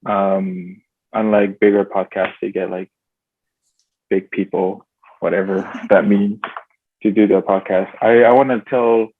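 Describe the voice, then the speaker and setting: A man's voice: fairly low pitch, flat delivery, a little slow, pauses often, slight background noise. A man hosting a casual interview podcast, talking with many fillers and restarts. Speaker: podcast host. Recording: tinny phone-like sound, dry.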